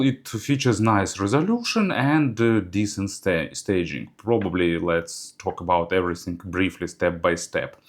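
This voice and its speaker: A man narrating a hands-on product review as a reviewer, speaking in halting, hesitant phrases. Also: lowish voice.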